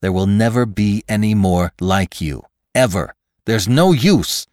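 A man's voice: lowish voice, lively intonation, unhurried, darkish tone, no echo, clean studio sound. A man voicing a logical, reasonable man in a storybook reading, arguing insistently and articulately for his life. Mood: urgent reasoning. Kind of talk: dramatic storybook narration.

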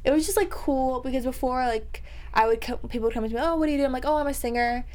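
A young adult woman singer, talking loudly and chattily in an interview, full of fillers. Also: high-pitched, quick pace. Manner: chatty, many fillers, bursts of laughter; loud voice. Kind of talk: casual interview chat.

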